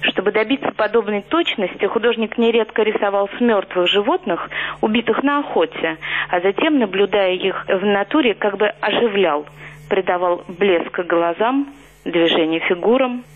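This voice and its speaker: A woman reading scripted narration in an even, read-aloud manner, from an archive recording. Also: medium pitch, moderate pace. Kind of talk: scripted narration on art history. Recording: tinny archival phone-like audio.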